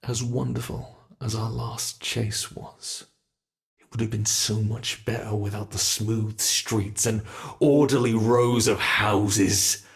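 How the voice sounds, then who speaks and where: A predatory monster, acted by a man, toys with human prey in an intimate ASMR roleplay. The voice is unhurried and crackles with gravelly fry, playfully sinister and savoring each pause. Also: lowish voice, fairly even intonation. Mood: eager, predatory. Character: teasing predatory male monster who hunts humans.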